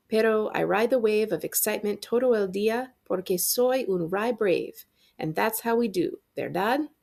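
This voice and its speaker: A woman with a noticeably creaky voice at a medium pitch reads a novel for young readers aloud. She delivers it as an expressive librarian's read-aloud, acting out the characters' words. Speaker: librarian reading a book aloud.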